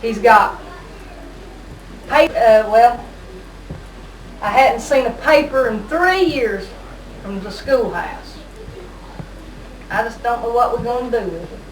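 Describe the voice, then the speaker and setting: High-voiced woman in a distant, echoey recording. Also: lively intonation, slow pace, long pauses. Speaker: amateur puppet show performer. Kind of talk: amateur comic skit monologue. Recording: distant noisy echoey home video.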